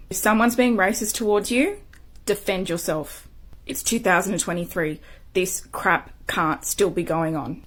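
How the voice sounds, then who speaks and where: A woman speaking bluntly and plainly in a casual vlog. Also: medium pitch. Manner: blunt and outspoken.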